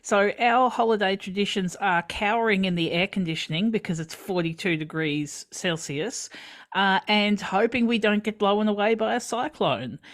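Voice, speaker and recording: Aussie woman chatting on a video call, dry wry humor, middle pitch. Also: moderate pace. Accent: Australian. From Australia. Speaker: improv cast member. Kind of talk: casual chat.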